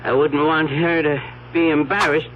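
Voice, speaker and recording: A muffled, slightly noisy old radio recording of an awkward building superintendent played by a male actor. His fairly high voice is hesitant and apologetic, stammering with good intentions. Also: level tone, normal speed, close to an everyday voice. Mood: awkward concern. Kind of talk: old-time radio mystery acting.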